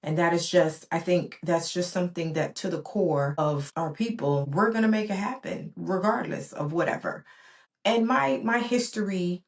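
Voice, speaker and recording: This is a woman in her forties, born in Barbados and raised in the US, hosting her own podcast. Her voice sits a little lower than most women's. She talks in an earnest, rhythmic way and stacks repeated phrases for emphasis. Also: moderate pace. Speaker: podcast host. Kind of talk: reflective solo podcast monologue.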